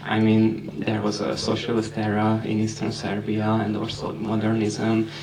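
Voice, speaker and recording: A man, a film critic, asking the filmmakers measured, hesitant questions at a festival. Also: on the low side, completely flat delivery, crowd noise. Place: festival hall with audience. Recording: distant mic in a crowded hall.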